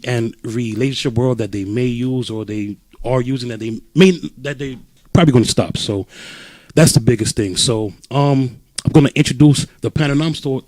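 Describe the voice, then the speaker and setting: A man's voice: mid-range voice, dry recording. A man in his twenties from Brooklyn, hosting a podcast panel in a loose, joking, casual way. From Brooklyn, New York. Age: 20s. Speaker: podcast host.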